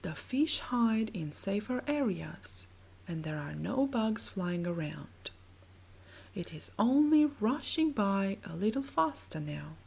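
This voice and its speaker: A woman narrates a sleep meditation in a soft, breathy voice with a mellow, dark tone. She speaks slowly and soothingly, with lively rises and falls in pitch as she gently guides the listener. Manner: soothing, measured, gently instructing.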